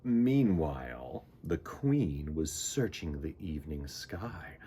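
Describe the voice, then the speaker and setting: Expressive man hosting children's storytime. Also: a bass voice, slow pace, slightly rough. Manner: expressive, emphatic, folksy. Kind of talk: animated storybook narration and friendly address to children.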